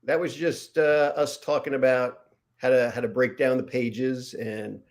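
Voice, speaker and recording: Brief: middle-aged male co-host, dry deadpan humor, relaxed, webcam audio. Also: mid-range voice, measured pace. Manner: dry, joking. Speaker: co-host, television writer. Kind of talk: casual livestream chat. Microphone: video call webcam.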